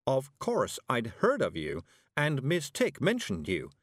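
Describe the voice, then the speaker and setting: A man voicing a stern, dry-humoured middle-aged witch who speaks slowly and deliberately. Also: medium pitch, wildly swooping pitch, no echo, voice pushed up. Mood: curious.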